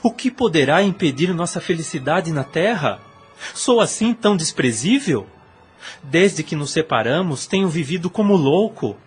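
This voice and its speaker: A man's voice: on the high side, expressive, normal speed, slightly rough, soft background music. A Brazilian man playing a lovesick former priest in an audio drama, pleading insistently in formal, impassioned speech. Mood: anguished, pleading.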